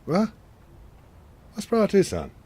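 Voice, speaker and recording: A man's voice: high voice, huge pitch swings, slow pace, dark tone, breathy edge, full character voice. A man playing a blunt, practical Irish cattle farmer in a radio drama, talking colloquially with an Irish accent. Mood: teasing, affectionate.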